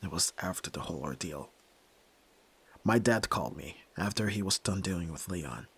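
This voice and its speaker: A man acts out a young, nerdy yakuza heir for a dramatic ASMR roleplay. His voice sits fairly low with a husky grain. He is brusque and tormented, speaking curtly as he pushes the listener away. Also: lively intonation, moderate pace, a bit bright, exaggerated voice. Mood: resigned, subdued. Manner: brooding, curt, pushing the listener away.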